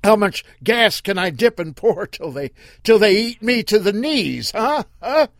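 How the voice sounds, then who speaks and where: A man's voice: sits high, very animated, measured pace, dry recording, studio quality, pitched above the performer's own voice, heavily acted. A man hollering as a half-blind old desert hermit. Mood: mocking. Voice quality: hollering, cackling, drawling.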